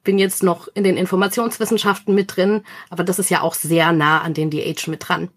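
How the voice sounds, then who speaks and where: A woman's voice: fairly low pitch, little pitch movement, a little fast, slightly rough. A woman speaking as an interview guest, explaining things informally in a friendly, enthusiastic way. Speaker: interview guest, online community organizer.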